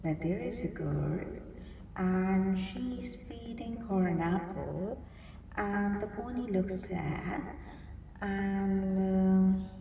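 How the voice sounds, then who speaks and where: A woman reading a picture book aloud to young children, expressively, pausing to think aloud and ask them simple questions. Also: mid-range voice, warm tone. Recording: roomy, distant mic, clear background noise.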